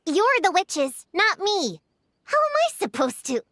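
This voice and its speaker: Bossy young game girl, very high pitch, lively intonation, self-important, skeptical. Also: unhurried, dry recording, full character voice. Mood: earnest, self-important. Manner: pretend-formal, stumbles over big words. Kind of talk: animated game dialogue.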